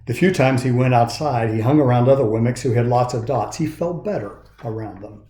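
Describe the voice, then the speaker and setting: A man in his sixties reading a children's story aloud in an expressive storytelling style. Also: medium pitch, a little fast. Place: indoors in a woodworking shop. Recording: roomy, echoey sound.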